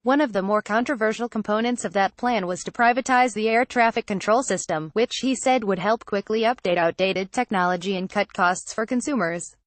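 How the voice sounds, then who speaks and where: A woman's voice: mid-range voice, a little fast, hardly any pauses, no echo. A woman reading scripted news narration in a formal, word-for-word manner.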